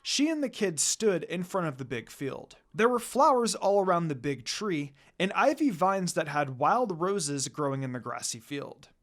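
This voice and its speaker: Woman narrating a story with lively pitch. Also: moderate pace, hardly any pauses. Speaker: fan fiction reader. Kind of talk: dramatic amateur story narration.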